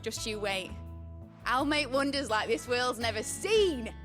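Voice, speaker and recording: Eager young craftworker, high voice, chirpy, gushing, fantasy game, faint music. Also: female voice, lively intonation, measured pace, a bit bright. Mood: excited, grateful. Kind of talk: video game drama acting.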